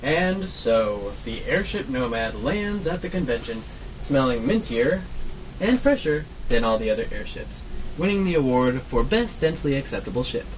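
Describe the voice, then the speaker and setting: Casting: male narrator for old-time radio serial parody, lively swings, noisy recording. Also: mid-range voice, normal speed. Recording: distant, muffled, noisy live amateur recording.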